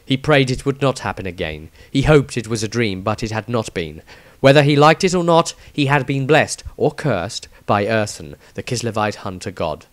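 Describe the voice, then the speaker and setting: A man's voice: medium pitch, animated, normal speed, no room sound. A man reading a fantasy story as a scripted narration, with a theatrical, emphatic delivery. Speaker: author reading his own story.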